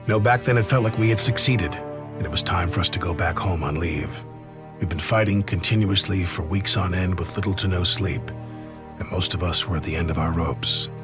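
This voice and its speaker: A man playing a weary soldier who recalls past battles in a somber, measured scripted game narration. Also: low voice, natural voice. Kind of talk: scripted war story narration.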